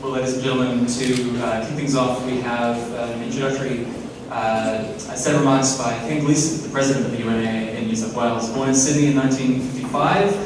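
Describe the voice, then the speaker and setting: A man's voice: medium pitch, fairly even intonation, quick pace. A man with a Hong Kong accent hosting a conference, hesitant with many fillers and self-deprecating jokes. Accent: Hong Kong English. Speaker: conference host. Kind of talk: emcee introductions with jokes. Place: conference hall. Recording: echoey hall, distant mic, noise.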